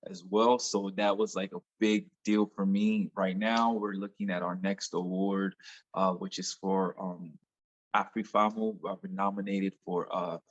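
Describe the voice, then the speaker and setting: A man with a Nigerian accent speaks in a lowish voice. He is a music artist guest chatting eagerly in an interview, often repeating his words. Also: level tone, unhurried, highs cut off. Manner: enthusiastic, repeats words as he talks. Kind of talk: casual interview chat.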